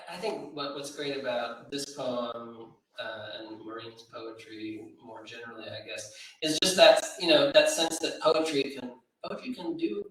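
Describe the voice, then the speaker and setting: Heard from a distance in a heavily echoing room, a man comments on literature off the cuff. His mid-pitched voice halts and circles as he searches for the right words. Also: a bit bright. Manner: hesitant, halting, searching for words. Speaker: literary event speaker. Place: reading room at a live literary event. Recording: very echoey room, distant microphone.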